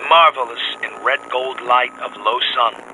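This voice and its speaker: An excited expedition scientist in a radio drama, speaking at a middle pitch. His phrases are clipped and telegraphic, like field dispatches. They come through a crackly wireless with clear noise around the voice. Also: a little slow. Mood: awed, excited. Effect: crackly radio transmission.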